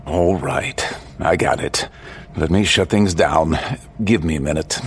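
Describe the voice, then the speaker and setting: An actor playing a cheeky, flippant trickster god in mortal form in an audio drama. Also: male voice, a bass voice, a little slow. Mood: resigned. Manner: flippant, playful.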